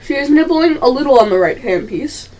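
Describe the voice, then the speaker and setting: A woman's voice: high voice, lively intonation, brisk, darkish tone, far from the mic, poor audio. A female narrator reading scripted commentary in an amateur radio play.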